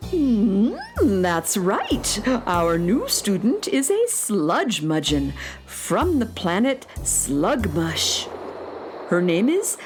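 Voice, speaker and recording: A woman playing a kindly, patient schoolteacher who gently instructs children in a space radio drama. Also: medium pitch, very animated, drawn-out words, soft background music. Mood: kindly, instructive. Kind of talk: children's audio drama acting.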